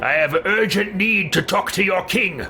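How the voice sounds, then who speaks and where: A man's voice: sits high, moderate pace, music in the background, pitched above the performer's own voice, natural voice. A man voicing a self-assured adventurer in roleplayed video game dialogue, formal and direct. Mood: assertive.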